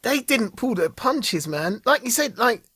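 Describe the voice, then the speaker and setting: A man co-hosting a laid-back podcast, jumping between jokes and quoted lines. His voice is slightly husky, and his pitch swings widely. The sound is dry and close. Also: measured pace, no echo. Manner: lively, laughing, quotes scenes with playful emphasis. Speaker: podcast co-host. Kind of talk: casual chat.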